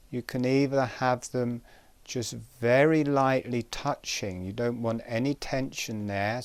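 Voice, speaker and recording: A man giving guided breathing instruction in a calm, gentle, measured way, pausing between short phrases. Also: mid-range voice, smooth, dry recording.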